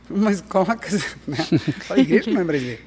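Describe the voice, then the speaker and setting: A middle-aged missionary pastor, talking animatedly as a guest in an interview, with frequent restarts. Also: male voice, sits high, brisk, crowd noise. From Peru and Brazil. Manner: animated, emphatic, restarts often. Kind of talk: conversational interview.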